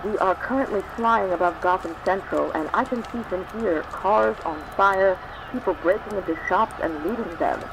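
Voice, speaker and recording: A man's voice: sits high, moderate pace. An actor playing a female TV news reporter in a helicopter, with urgent live-report delivery in an audio drama. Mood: tense reporting. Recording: clear vehicle noise behind voice.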